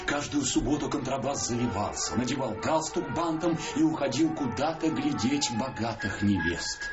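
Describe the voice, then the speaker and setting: A man's voice: medium pitch, animated, moderate pace, highs cut off, over music. A man narrating a dramatised literary story for radio.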